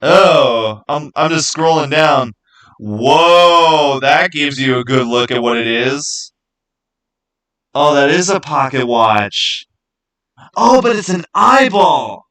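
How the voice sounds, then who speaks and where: A man hosting a livestream, chatting casually with viewers in a halting way, often repeating words and phrases as he goes. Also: a little high, animated, fairly bright tone, low-quality recording. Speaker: livestream host.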